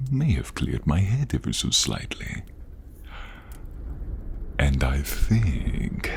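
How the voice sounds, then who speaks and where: A man's voice: a little mellow, a little hiss or hum, heavily acted. A man voicing a menacing, inhuman grand inquisitor with a hunger for blood, commanding and savoring his words in a fantasy audio role-play. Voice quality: very deep, gravelly, breathy. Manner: slow, savoring, commanding, condescending.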